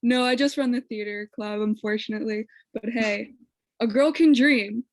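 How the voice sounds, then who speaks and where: A woman's voice: on the high side, moderate pace, no echo. A young woman, a student theater club president, joking playfully on a video-call panel. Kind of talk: casual joking chat.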